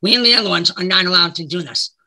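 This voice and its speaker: A man's voice: high-pitched, brisk, gravelly, bright, forceful tone, rough recording. A middle-aged American man with a cold and a speech impediment, reading formal prepared testimony over a video call. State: has a cold. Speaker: witness giving testimony. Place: indoor room, on a video call.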